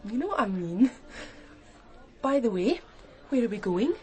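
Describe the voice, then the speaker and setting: A woman's voice: a little high, big pitch swings, unhurried, mellow, soft background music. A woman playing a playful, discreet girlfriend in naturalistic radio drama dialogue.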